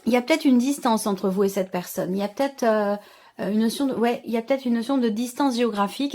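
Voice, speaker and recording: A woman's voice: fairly high pitch, a little mellow. A woman giving an unscripted tarot reading to viewers, feeling her way through sentences and often rephrasing. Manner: hesitant phrasing, circles back and rephrases. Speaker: tarot reader.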